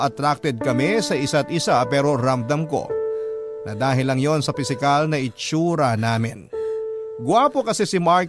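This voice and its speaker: A man narrating a letter in the first person as a scripted radio drama story. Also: a little high. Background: instrumental music.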